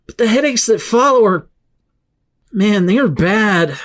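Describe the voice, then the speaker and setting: A woman playing a rattled, excitable teenage boy with superpowers in an audio drama, speaking emotionally in casual slang. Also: mid-range voice, measured pace, close to an everyday voice. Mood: weary, confiding.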